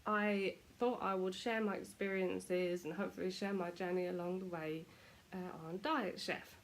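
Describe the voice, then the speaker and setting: A woman's voice: lowish voice, fairly even intonation, normal speed, slight background noise. A British woman chatting casually to camera at home, self-deprecating, her nose stuffy from a cold. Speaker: vlogger.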